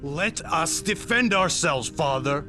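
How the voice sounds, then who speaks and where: Guy playing a defiant young tribesman, emotional, slow delivery, music behind him, space audio drama. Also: on the high side, lively intonation. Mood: desperate.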